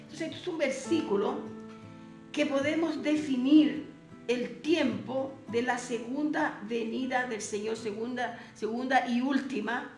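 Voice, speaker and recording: A woman's voice: sits high, steady tone, measured pace, a little mellow, echoey room, soft background music. A woman whose first language is Portuguese, teaching a Bible seminar lesson, reading verses aloud and asking rhetorical questions.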